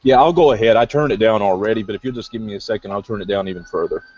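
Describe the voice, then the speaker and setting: Deep-voiced male guest, calling in from a gym over a dull lo-fi online call, hesitant and thoughtful. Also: very fast, a little mellow, dry recording. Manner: thoughtful, hesitant, many fillers. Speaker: guest newcomer. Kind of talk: casual online group discussion. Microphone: video call.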